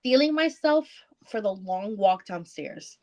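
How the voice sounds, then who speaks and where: A woman's voice: mid-range voice, lively intonation, unhurried, warm tone, muffled recording. A woman co-hosting a book club podcast, reading aloud dramatically and casually, breaking off to comment and swear.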